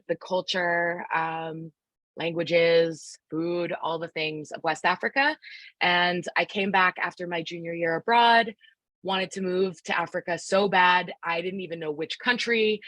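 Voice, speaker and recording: A woman's voice: on the low side, a bit bright, no echo. A woman from Philadelphia presenting a live online workshop. She speaks in an upbeat, encouraging way, asks the audience to join in, and uses many fillers. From Philadelphia, United States. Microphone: video call microphone.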